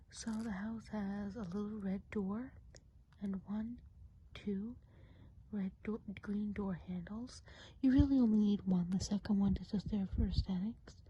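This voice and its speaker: A woman playing a kindly, whimsical woodland witch in an ASMR role play, whispering softly, breathily and gently. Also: mid-range voice, close to an everyday voice, poor audio. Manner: slow, gentle, unhurried explaining. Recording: faint background noise.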